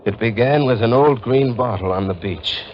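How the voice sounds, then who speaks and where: A man's voice: medium pitch, normal speed, close to an everyday voice. An actor playing an earnest, anguished young fisherman with an American accent in a 1940s radio melodrama. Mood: brooding, reminiscing. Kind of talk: 1940s radio drama acting. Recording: noisy, muffled 1940s radio recording.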